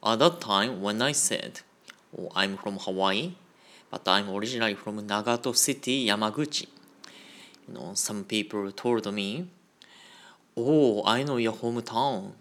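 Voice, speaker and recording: A man giving a Buddhist Dharma talk on a radio program, in a slow, measured and careful delivery. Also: fairly low pitch, fairly bright tone. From Japan. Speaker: Buddhist minister.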